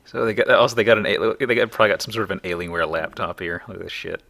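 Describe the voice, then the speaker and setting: Man with a low, creaky, bright voice. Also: rapid-fire. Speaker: let's play host. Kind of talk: casual game commentary.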